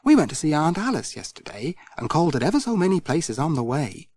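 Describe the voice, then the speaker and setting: A man's voice: fairly high pitch, sing-song, fast talker, darkish tone, husky, exaggerated voice. A man voicing a homely, concerned farm mother in a children's audiobook, chatty and fretting. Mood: worried.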